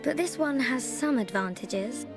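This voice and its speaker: A young woman playing a gentle, selfless girl in a mechanical body in a video game, speaking softly. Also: high voice, lively intonation, a little slow. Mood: gentle, reassuring. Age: young adult. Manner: soft, gentle. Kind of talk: video game cutscene voice acting. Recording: game soundtrack music behind.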